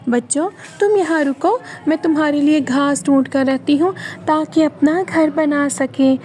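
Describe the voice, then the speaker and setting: Woman voicing a tender high mother sparrow. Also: measured pace, dark tone, slight background noise. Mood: kind.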